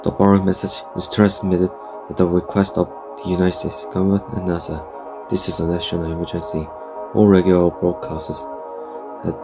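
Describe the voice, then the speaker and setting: A low-pitched man delivers a scripted emergency alert as a broadcast announcer. His manner is formal and solemn, and prominent music plays under his voice.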